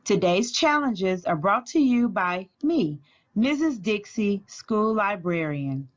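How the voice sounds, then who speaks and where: Slow-paced woman librarian, dull lo-fi sound. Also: medium pitch, expressive. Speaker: school librarian reading aloud to children. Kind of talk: storybook read-aloud and activity instructions.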